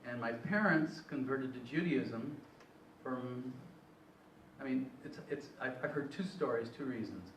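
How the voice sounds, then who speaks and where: A man's voice: mid-range voice, unhurried, a bit bright, a little hiss or hum. A man in his sixties on a panel, telling his life story in a rambling, self-correcting way. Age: 60s. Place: event hall. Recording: echoey room, far from mic.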